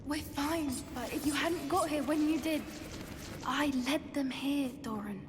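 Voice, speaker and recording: A woman playing an earnest, kind teenage schoolgirl witch in a video game, gentle and conversational. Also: high-pitched, measured pace, noisy background. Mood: shaken.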